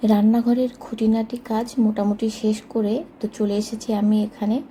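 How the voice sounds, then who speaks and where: A woman narrates her daily household vlog in a casual way. Her voice sits somewhat high and has a warm, mellow tone. A little household noise sits faintly behind her. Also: little pitch movement, fairly quick. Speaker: homemaker vlogger.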